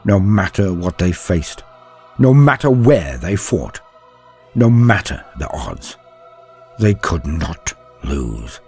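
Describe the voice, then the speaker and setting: A man delivering dramatic scripted narration as a host, solemn, theatrical and grandiose, with a husky voice. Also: low-pitched, very animated, slow pace, a little mellow, faint music behind. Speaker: host and narrator of a lore show.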